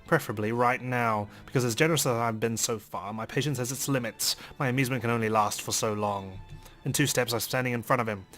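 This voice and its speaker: A man with a mid-pitched voice reads visual novel narration dramatically and wryly. He moves along quickly, with game music playing behind him. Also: fairly bright tone. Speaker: let's play narrator. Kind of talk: dramatic first-person visual novel reading.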